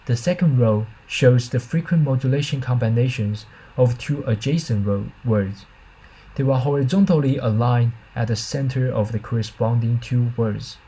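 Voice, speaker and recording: A man's voice: mid-range voice, mellow, husky, poor audio. A man reading a scripted academic presentation as a researcher, in a careful, formal manner with slight stumbles.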